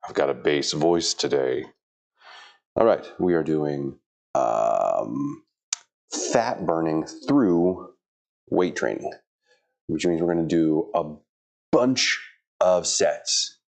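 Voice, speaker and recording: Middle-aged dad-type man, low gravelly voice, chatty and self-talking, casual workout vlog. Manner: chatty, self-talking, flustered by equipment trouble. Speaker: fitness vlogger.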